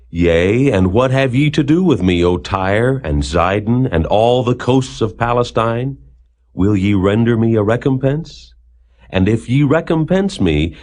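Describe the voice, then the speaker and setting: A man narrating scripture as a Bible reader, in a solemn, declamatory manner with measured phrasing. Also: medium pitch, a little mellow.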